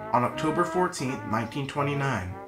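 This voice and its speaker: Male newsreel narrator, scripted read in an echoey room with guitar music clearly underneath. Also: mid-range voice, expressive, brisk.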